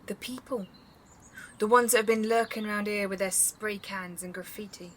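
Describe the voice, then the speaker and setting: An actress playing a suspicious, stubborn and blunt long-time resident in a naturalistic audio drama. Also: female voice, mid-range voice, fairly even intonation, moderate pace, slight background noise, natural voice.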